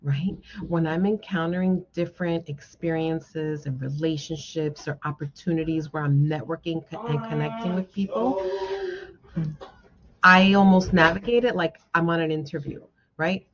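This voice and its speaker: A woman's voice: on the low side, moderate pace. A woman guest speaker giving an encouraging motivational talk to a class, often checking in with her listeners. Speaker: guest speaker, social worker. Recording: dull, band-limited lo-fi sound.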